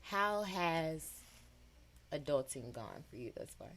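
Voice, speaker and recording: A thirty-year-old woman from hosting a casual podcast chat, loud and laughing. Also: low-pitched, animated, slow pace. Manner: loud, laughing, slangy banter. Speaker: podcast host.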